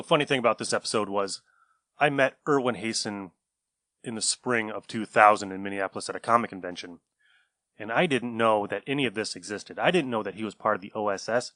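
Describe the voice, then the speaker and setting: A man's voice: on the low side, sharp tone, no room sound. A man hosting a podcast, speaking informally and conversationally as he introduces a show. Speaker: podcast host. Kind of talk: casual introduction and anecdote.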